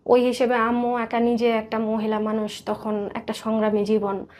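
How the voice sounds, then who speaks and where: A Bangladeshi woman shares her personal story as an interview guest. Her voice sits a little high. She speaks earnestly and in detail, returning to points to repeat them. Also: monotone, moderate pace, a little mellow. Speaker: guest telling life story.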